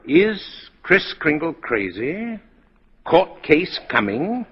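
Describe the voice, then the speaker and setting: An actor playing a jolly, gentle elderly man who believes he is Santa, mild in manner, in 1940s radio style. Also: fairly high pitch, big pitch swings, slow pace, slightly rough, full character voice. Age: older. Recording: dull, reverberant old radio recording.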